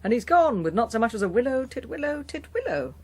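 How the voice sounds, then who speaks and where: An actress playing an arch, witty middle-aged English mother in a radio drama, with a husky voice and a dry, reproving manner. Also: a little high, big pitch swings, fast talker, a little mellow, heavily acted. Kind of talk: British radio drama acting.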